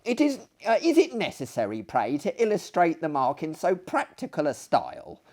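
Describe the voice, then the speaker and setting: An indignant old French lady, played by a man reading a story, prim and haughty. The voice sits high and swoops widely as it scolds. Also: wildly swooping pitch, normal speed, darkish tone, heavily acted. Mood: indignant scolding.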